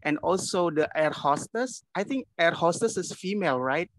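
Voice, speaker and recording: A man on a class video call sharing his opinion in casual second-language English, with some fillers and restarts. His voice is a little high and sounds dry and close to the mic. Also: lively intonation, normal speed, dry recording. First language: Indonesian. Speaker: class participant.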